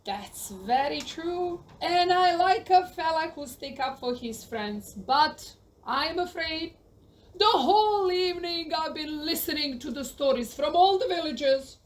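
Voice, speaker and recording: A woman's voice: sits high, expressive, takes it slowly. A woman playing a brave, hearty knight in an audio play, courteous and stubborn, with a grand formal manner. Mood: doubtful, firm. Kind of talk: community audio play acting.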